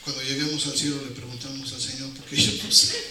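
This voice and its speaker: A man's voice: medium pitch, little pitch movement, fast. A man with a Mexican accent preaching an informal sermon in colloquial words, using rhetorical questions and repeating phrases for emphasis. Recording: very echoey hall, distant, noisy.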